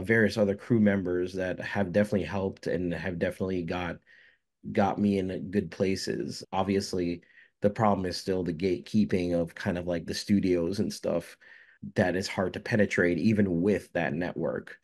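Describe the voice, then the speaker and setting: A man's voice: low voice, fairly even intonation, normal speed, a little mellow. A young man from Jamaica, a filmmaker, answering interview questions casually with many fillers and restarts. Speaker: filmmaker guest.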